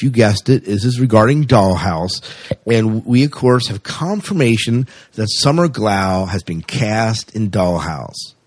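A man's voice: mid-range voice, darkish tone, breathy edge, dry recording. A man hosting a podcast, chatting casually and enthusiastically through entertainment news. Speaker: podcast host.

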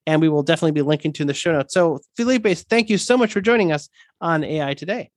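Male podcast host, enthusiastic and quick-talking, dry close recording. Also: fairly high pitch, animated, no echo. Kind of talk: podcast introduction.

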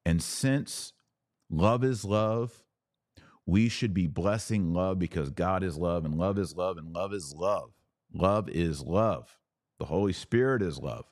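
Deep-voiced man explaining religious matters. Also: darkish tone, dry recording, clean studio sound. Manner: emphatic, deliberate teaching with repetition for effect. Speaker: podcast host and religious commentator.

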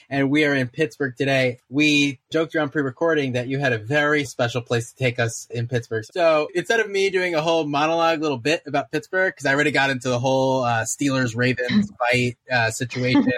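A man hosting a medical education podcast, lively and quick to laugh. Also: medium pitch, no room sound. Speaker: podcast host.

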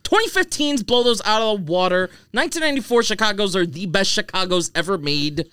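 A man's voice: high-pitched, animated, fairly quick, fairly bright tone, clear, resonant tone, no room sound, studio quality. A man on a podcast panel giving casual, opinionated banter, emphatic and sarcastic.

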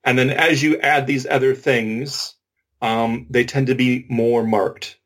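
A man's voice: medium pitch, fairly even intonation, takes it slowly, fairly bright tone. A man co-hosting a podcast, explaining methodically and informally with examples and asides. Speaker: podcast co-host. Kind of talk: informal explanatory discussion of linguistics.

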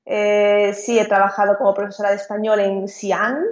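A woman's voice: mid-range voice, little pitch movement, normal speed. Woman in a roomy room. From Vigo, Galicia, Spain. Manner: chatty, hesitant fillers, light laughs. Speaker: interview guest, teacher. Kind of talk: casual conversational interview. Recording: roomy, dull lo-fi sound.

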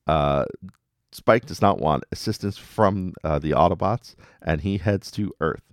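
Guy podcaster, deep voice, wry recap with jokey asides, really dry close sound. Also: unhurried, dry recording. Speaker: podcast host. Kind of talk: casual episode recap commentary.

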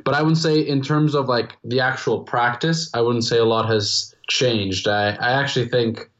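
A man's voice: medium pitch, fairly even intonation, normal speed, a bit bright, lo-fi sound. A young man from the Czech Republic, Czech by birth, speaking English with an Americanized international-school accent, answering interview questions thoughtfully with many restarts. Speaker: musician guest. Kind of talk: casual podcast interview.

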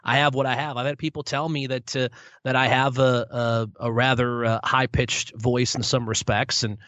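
A man's voice: medium pitch, little pitch movement, moderate pace, a bit bright, dry recording. A young man, a sports play-by-play broadcaster, answering interview questions in a good-humored, self-deprecating way with many restarts. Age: young adult. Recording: dull lo-fi sound.

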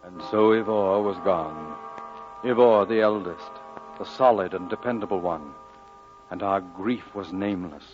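An actor playing a grown man gravely recalling his boyhood, narrating an old radio drama in a measured, wistful way. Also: deep voice. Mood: solemn. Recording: dull old radio, flute music behind.

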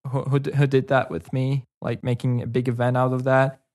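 A man's voice: mid-range voice, level tone, fairly quick, a little mellow. A man, a guest in a casual interview, talking hesitantly with many fillers and restarts. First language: Karachay.

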